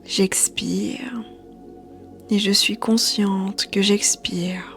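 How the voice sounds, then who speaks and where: A woman yoga teacher guiding a meditation in a soothing, hushed, breathy voice. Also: mid-range voice, steady tone, very slow. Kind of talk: guided meditation narration. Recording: soft background music. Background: quiet music.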